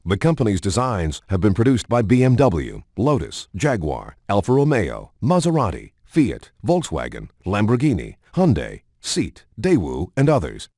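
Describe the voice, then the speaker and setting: A man's voice: on the low side, wildly swooping pitch, mellow, no room sound, clean studio sound. Male narrator with widely swinging intonation. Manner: measured, polished announcer delivery. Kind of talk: scripted documentary-style narration.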